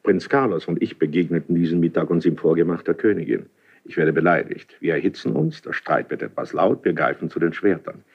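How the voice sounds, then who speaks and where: An actor playing a proud, scheming court duke, recounting events in a clipped, dramatic 1970s German radio play style. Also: male voice, low-pitched, a little fast, husky. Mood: grave, urgent. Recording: dull vintage radio recording.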